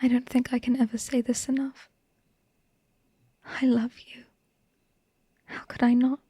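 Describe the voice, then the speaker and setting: A woman playing a devoted, tender and haunted vampire wife in a hushed, breathy, intimate ASMR bedtime monologue. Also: a little high, little pitch movement, dry recording. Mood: soft, loving. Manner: slow, tender, halting delivery with lingering pauses.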